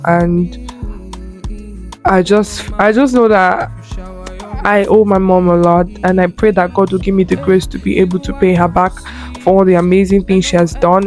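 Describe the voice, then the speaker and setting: A woman's voice: mid-range voice, darkish tone. Earnest young Nigerian woman telling her story. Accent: Nigerian Igbo. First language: Igbo. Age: early 20s. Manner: chatty and earnest, with frequent fillers and self-corrections. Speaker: lifestyle creator. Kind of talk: personal storytelling to camera.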